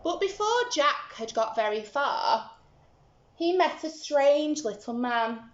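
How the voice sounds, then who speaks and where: A woman teacher talking simply and deliberately to young children as she explains and reads a storybook aloud. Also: high voice. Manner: simple, deliberate, instructive, animated when reading. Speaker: early-years school teacher. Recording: roomy, slightly muffled home recording.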